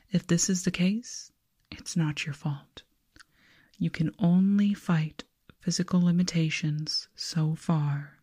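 A woman voicing a calm, politely condescending alien researcher in a slow, soft, breathy whisper for an ASMR roleplay. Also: sits low. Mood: musing, condescending. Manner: slow, soothing, formal, clinically detached.

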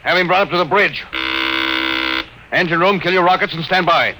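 An actor playing a short-tempered but loyal spaceship captain in a classic radio drama, brusque and commanding. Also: male voice, high voice, normal speed, sharp tone. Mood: irritated, barking. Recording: noisy old radio recording.

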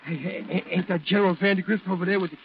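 An actor playing a brash, slangy working-class Marine in a 1940s radio drama. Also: male voice, high-pitched, fairly even intonation, very fast. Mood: excited. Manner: blunt, slangy. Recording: muffled old radio recording.

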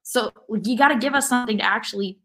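A woman's voice: on the high side, fast talker, fairly bright tone. A woman co-hosting a podcast in casual, conversational sports talk peppered with filler words. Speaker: podcast co-host.

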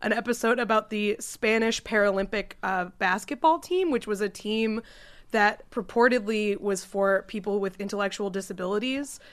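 A woman's voice: mid-range voice, normal speed. A woman co-hosting a comedy podcast, upbeat and chatty in casual talk.

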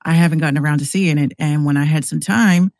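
A woman's voice: low-pitched, quick pace, darkish tone, dry recording, studio quality. An American woman chatting casually as a podcast guest, informal and a bit hesitant, often repeating words. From New York City, United States. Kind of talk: casual conversation.

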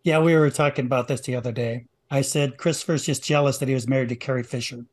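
A man's voice: mid-range voice, steady tone, quick pace. A man chatting casually, friendly and teasing. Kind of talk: casual chat.

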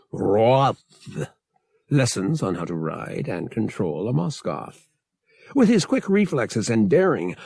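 Male sci-fi audiobook narrator, creaky and animated in pitch, measured storytelling, very dry close sound. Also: no room sound. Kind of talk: scripted narration of a science fiction novel.

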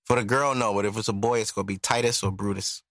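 A man's voice: fairly low pitch, fairly even intonation, fast, a bit bright, dry recording, studio quality. A man in his thirties co-hosting a podcast, talking candidly, joking and reflective. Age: 30s. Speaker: podcast co-host. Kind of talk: casual chat.

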